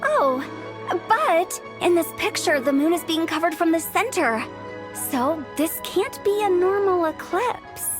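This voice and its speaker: A woman's voice: extremely high pitch, big pitch swings, unhurried, exaggerated voice. An actress playing a curious, inquisitive young woman in a fantasy video game, musing aloud. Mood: puzzled. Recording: music behind the voice.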